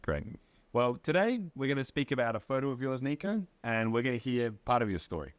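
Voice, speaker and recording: A man with an Australian accent, an English teacher interviewing a guest in slow, clear English for learners, patient and encouraging. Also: medium pitch, sing-song, no room sound. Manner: patient, encouraging, checks and repeats answers.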